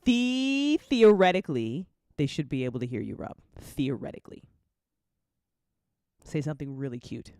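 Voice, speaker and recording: A woman's voice: low-pitched, animated, normal speed, warm tone, no echo, clean studio sound. A woman hosting a live after show in casual, joking chat, playfully repeating phrases for emphasis.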